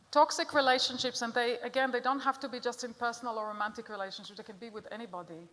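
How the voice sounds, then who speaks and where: A middle-aged Israeli woman gives a public talk with an earnest, direct manner and often puts questions to her listeners. Her voice sits a little high for a woman. The sound carries a long reverb from a large hall. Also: a bit bright. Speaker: speaker giving a talk.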